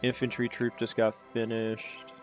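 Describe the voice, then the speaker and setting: A man's voice: medium pitch, monotone, measured pace, faint music behind. A man hosting his own gaming channel, thinking aloud while he plays, rambling and tossing in sarcastic asides to himself. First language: English. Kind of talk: casual strategy-game commentary.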